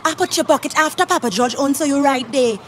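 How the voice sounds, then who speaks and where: A woman's voice: high voice, quick pace, fairly bright tone, slight background noise. A woman acting a practical, patient but nagging young sweetheart of about eighteen in a radio play, with a Trinidadian accent. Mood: brisk, practical.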